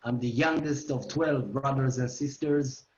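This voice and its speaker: A man's voice: medium pitch, fairly even intonation, unhurried, darkish tone. A man in his forties with an Israeli accent, giving an online history lecture in simple phrasing addressed to his listeners. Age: 40s. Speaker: educational tour guide giving a lecture. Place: living room at home. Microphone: video call. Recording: roomy, slightly dull video-call audio.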